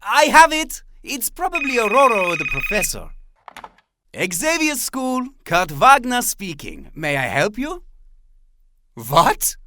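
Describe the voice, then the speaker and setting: A woman's voice: on the high side, very animated, slow pace, bright, forceful tone, exaggerated voice. A woman playing a courteous young German man with a German accent in an audio drama. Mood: cheerful, polite. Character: lighthearted, courteous young German male mutant.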